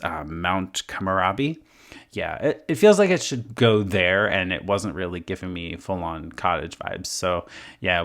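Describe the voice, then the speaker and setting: A man with a hoarse voice after being sick, giving a rambling, casual voice-over commentary full of fillers as a gaming creator. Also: sits low, fairly bright tone. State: hoarse from illness.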